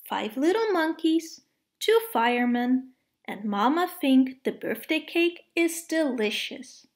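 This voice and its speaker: A woman reads children's storybooks aloud. Her voice is high and she goes slowly, with a deliberate, expressive delivery meant for young listeners. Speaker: children's book narrator. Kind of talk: read-aloud storybook narration.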